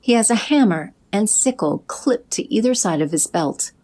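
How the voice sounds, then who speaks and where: A woman narrating an audio drama in a steady, descriptive way. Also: mid-range voice, normal speed. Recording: music bed under narration.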